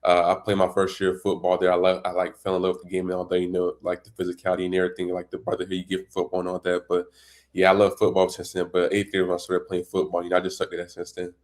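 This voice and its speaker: A teenage boy from Congo talks in a very low, nearly monotone voice. He rattles along quickly, looping through fillers like "you know" and "and all that" as he answers. His delivery is plain and loose, not polished. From Democratic Republic of the Congo. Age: teens. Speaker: high school football recruit. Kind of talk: casual interview answers.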